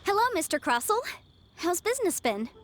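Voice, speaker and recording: A woman's voice: extremely high pitch, big pitch swings, brisk, faint music behind. A woman playing a confident young legal adviser in a video game, articulate, courteous and businesslike. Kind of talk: video game character acting.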